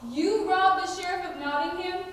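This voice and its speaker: Teen girl as eager outlaw recruit, very high, echoey. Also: fairly even intonation, normal speed, a bit bright. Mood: starstruck, eager. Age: teenager. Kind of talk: high school stage play acting. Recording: distant, echoey hall with background noise.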